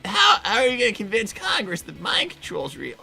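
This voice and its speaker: A woman voicing a meek, awkward young boy fox from a cartoon, with a hesitant, defensive manner and a raspy boyish voice. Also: mid-range voice, wildly swooping pitch, brisk, sharp tone, faint music behind, full character voice. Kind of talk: improvised in-character gaming livestream banter.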